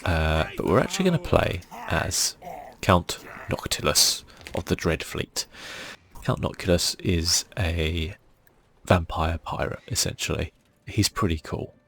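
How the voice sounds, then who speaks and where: A man with a very low, husky voice gives relaxed ASMR gaming commentary. He speaks softly and casually, hesitating as he goes, with a creaky edge. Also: unhurried. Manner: soft-spoken, casual and hesitant. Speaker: gaming channel host.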